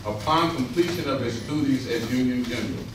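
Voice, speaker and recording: A man's voice: mid-range voice, fairly quick. A man reading scripted historical narration aloud as a narrator in a readers theater. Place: stage rehearsal in a large echoing room. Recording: distant, echoey hall, clear noise.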